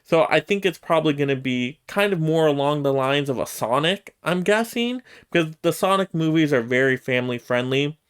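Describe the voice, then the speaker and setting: A man's voice: a little high, animated, moderate pace, hardly any pauses, a bit bright, clear, resonant tone. A man hosting a casual solo news roundup, conversational and emphatic, often checking himself with asides. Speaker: YouTube host giving movie news commentary.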